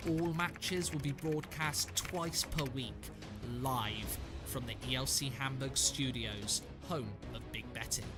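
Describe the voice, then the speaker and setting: A man's voice: mid-range voice, fairly even intonation, slow pace. A man reading scripted promotional narration as an announcer. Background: loud music.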